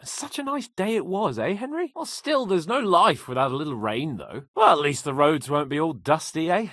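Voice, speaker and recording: A lively, haughty young nobleman. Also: fairly high pitch, moderate pace, no room sound, studio quality, heavily acted. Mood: cheerful, chatty. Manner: haughty, playful, theatrical. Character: cocky young medieval nobleman, haughty, jovial, teasing. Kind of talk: video game character dialogue.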